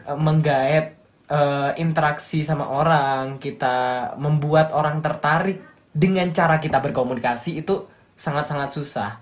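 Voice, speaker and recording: Young male radio announcer from Malang, light Javanese accent, upbeat and motivational. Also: fairly high pitch. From Malang, East Java. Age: young adult. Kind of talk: casual interview chat.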